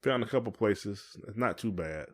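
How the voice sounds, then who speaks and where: A man's voice: fairly low pitch, lively intonation, normal speed. An American man co-hosting a podcast, talking in a casual, informal and colloquial way. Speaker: podcast co-host. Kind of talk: casual conversational chat.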